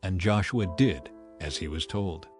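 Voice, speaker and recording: A man's voice: low-pitched, animated, measured pace. A man gives a solemn, declamatory narration in the style of a dramatized audio Bible. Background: background music.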